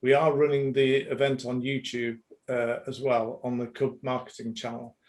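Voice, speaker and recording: A middle-aged man hosting a live-streamed business interview, asking questions and passing on viewer messages in a halting, friendly way. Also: medium pitch, level tone. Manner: halting, friendly, many fillers. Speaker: host of a live business showcase.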